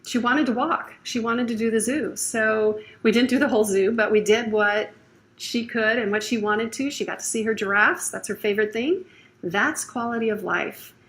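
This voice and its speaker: Woman, gravelly voice, warm, encouraging, personal story, frequent ums. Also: fairly high pitch. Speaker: testimonial speaker. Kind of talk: personal testimonial.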